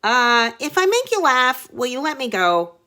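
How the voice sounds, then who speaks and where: A woman's voice: high voice, sing-song, measured pace, sharp tone, pitched above the performer's own voice, heavily acted. Woman voicing an eager, pleading young storybook prince. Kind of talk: children's storybook read-aloud.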